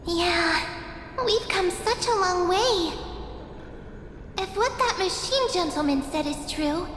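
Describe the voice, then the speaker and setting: A woman's voice: extremely high pitch, lively intonation, slow, noisy background, heavily acted. An actress voicing a sweet, devoted little girl companion in a video game, earnest and tender. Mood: tender devotion. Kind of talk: dramatic video game voice acting. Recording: echoey reverb, music behind.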